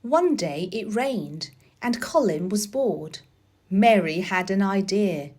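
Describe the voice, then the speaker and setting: A woman reading a children's story aloud as scripted narration, with deliberate, expressive delivery. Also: medium pitch. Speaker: storybook reader.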